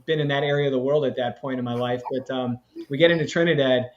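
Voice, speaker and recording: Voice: mid-range voice, fairly even intonation, fast. A host of a live interview stream, telling stories in a rambling way, full of fillers. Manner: rambling, filler-heavy storytelling. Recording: slightly dull lo-fi audio.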